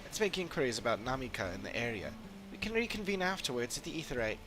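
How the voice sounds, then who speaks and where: A man voicing an earnest, concerned adventurer in video game dialogue, measured and articulate as they puzzle over a mystery. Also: mid-range voice, big pitch swings, music in the background, close to an everyday voice. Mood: concerned, resolute.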